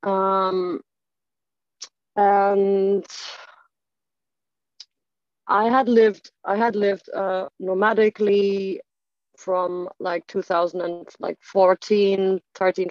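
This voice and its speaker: Halting woman. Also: medium pitch, dry recording. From Germany. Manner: halting, full of "like" and restarts. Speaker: speaker sharing her life story. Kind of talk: candid personal storytelling. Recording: dull lo-fi dry recording.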